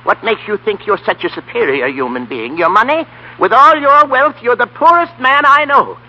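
A man's voice: extremely high pitch, pushed and bright, highs cut off, heavily acted. An actor playing a kindly, plain-spoken old grandfather in folksy 1930s radio style. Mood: indignant. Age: older.

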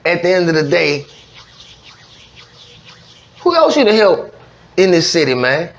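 A man's voice: on the high side, big pitch swings, unhurried. A middle-aged man with a Louisiana Southern accent, chatting informally and slangily as a podcast host. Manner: slangy, informal, agreeing often. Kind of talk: casual interview chat. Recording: dull lo-fi sound.

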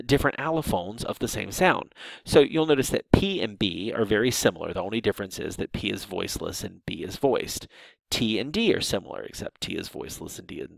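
A man's voice: medium pitch, gravelly, no echo, rough recording. A man teaching a linguistics course in a recorded lecture over slides, explaining patiently with frequent restarts and fillers. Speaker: linguistics instructor.

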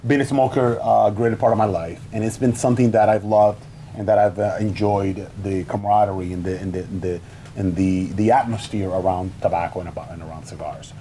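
A man in his thirties from the Dominican Republic chats on a studio cigar panel, his voice low and mellow. He talks eagerly but keeps stopping and restarting mid-sentence, caught on a lapel mic with a faint hiss under him. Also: steady tone. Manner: halting, restarts often, eager. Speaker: cigar company founder, guest. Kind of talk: casual panel talk. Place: studio set with wood shelves, indoors.

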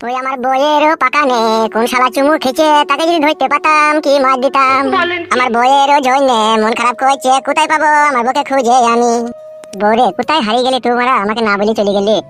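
A man voicing a heartbroken cartoon village husband, melodramatic and drawn-out. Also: very high voice, steady tone, sharp tone. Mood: mournful. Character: heartbroken village husband wailing for his runaway wife.

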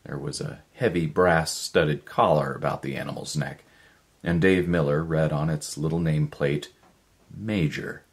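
A man in his fifties reading a story as a voice actor, in a measured, expressive, dramatic narration. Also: a bass voice. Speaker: voice actor, audiobook reader. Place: living room, indoors.

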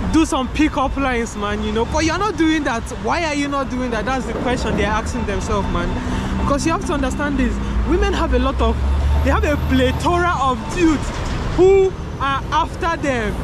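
A young man with a light, feminine-sounding voice, vlogging in a loose, repetitive way and calling the viewer "man" and "bro", recorded outdoors. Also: very high-pitched. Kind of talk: casual to-camera talk. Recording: outdoor recording with clear traffic noise. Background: passing cars.

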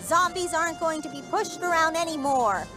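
A woman's voice: very high voice, moderate pace, over music. A woman voicing an earnest young zombie girl rallying fellow zombies. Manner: rallying, impassioned.

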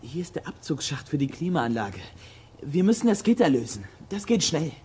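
A performer playing a bold, practical boy detective with a brisk, decisive manner in a children's audio drama. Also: medium pitch, very animated, warm tone, slight background noise, close to an everyday voice.

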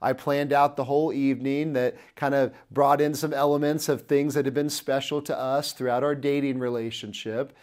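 A man in his thirties delivers a sermon straight to camera with a middle-pitched voice, earnestly telling a personal story and gesturing as he speaks. He is recorded on a lapel mic in an indoor studio. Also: flat delivery, moderate pace, hardly any pauses, a little mellow. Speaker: preacher.

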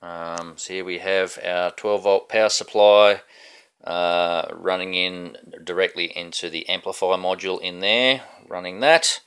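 A man's voice: low voice, steady tone, a little slow, a bit bright, clean tone. A middle-aged man casually talking through a hands-on troubleshooting demo, informal and a little hesitant, step by step. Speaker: hobbyist builder presenting his project.

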